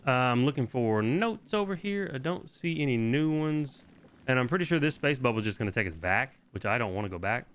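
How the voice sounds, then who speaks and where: Guy doing a casual game walkthrough, bright forceful voice, kinda sweary, mid pitch. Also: lively intonation, fairly quick, clean tone. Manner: informal, swears freely. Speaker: video game guide host. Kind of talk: casual gameplay walkthrough commentary.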